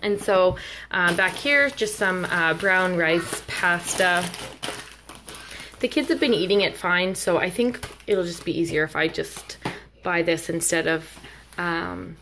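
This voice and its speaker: A woman's voice: on the low side, slow, pushed and bright. A young woman talking casually and a little hesitantly as she narrates a home vlog. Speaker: vlogger. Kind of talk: casual grocery haul narration, unscripted. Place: indoors at home. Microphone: handheld camera, held by speaker. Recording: clear background noise, handling clicks.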